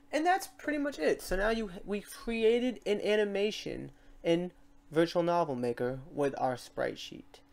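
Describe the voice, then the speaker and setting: A tutorial host walking through software steps in an informal way, thinking aloud while demonstrating. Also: mid-range voice. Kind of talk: casual screen-recorded software tutorial.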